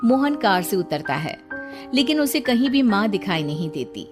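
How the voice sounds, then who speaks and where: A woman's voice: mid-range voice, big pitch swings, moderate pace. A woman reading a scripted story as its narrator. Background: background music.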